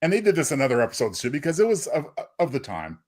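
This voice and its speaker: Quick-talking man with lively pitch. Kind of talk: casual discussion.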